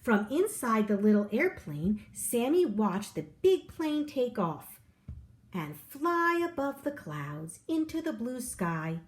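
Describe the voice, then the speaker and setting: Lady reading a kids picture book out loud, really slow, expressive storyteller. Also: on the high side. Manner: expressive storyteller delivery with voiced dialogue. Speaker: early childhood teacher reading a storybook. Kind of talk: children's picture book read-aloud.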